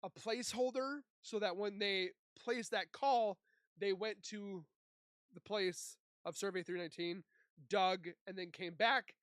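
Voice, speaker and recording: A woman giving casual, unscripted commentary as a host, halting as she searches for words. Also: mid-range voice, no room sound.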